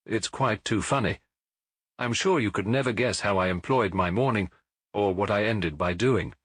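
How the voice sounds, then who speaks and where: A man's voice: lowish voice, normal speed, fairly bright tone, husky, no echo, clean studio sound. A man voicing a clever, nonchalant Victorian detective in a storybook, urbane, precise and breezy. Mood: amused. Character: brilliant, nonchalant Victorian male detective, brisk and amused.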